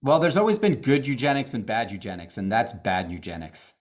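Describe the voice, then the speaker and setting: Man from the Boston area, middle-aged, dry deadpan humor, even mid-range voice, muffled lo-fi sound. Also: mid-range voice, a little fast. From Boston area, USA. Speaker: guest. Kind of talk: casual interview chat.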